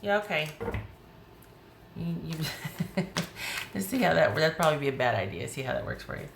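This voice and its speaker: A woman with a hoarse voice giving a chatty, rambling tarot reading, often restarting her sentences. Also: low-pitched, big pitch swings, moderate pace, reverberant, background noise. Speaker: tarot reader.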